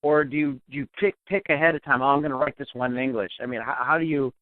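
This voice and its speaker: Chatty host on a tinny line. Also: male voice, medium pitch, quick pace, no echo. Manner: rambling questions with restarts. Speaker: podcast host. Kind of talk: casual interview questions. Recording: tinny phone line, dry.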